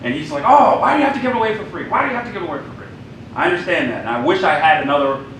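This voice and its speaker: A filmmaker guest speaking to a group at a live event, jokey and animated, acting out quotes, recorded from a distance in an echoey room. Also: male voice, on the high side, rapid-fire, a little hiss or hum.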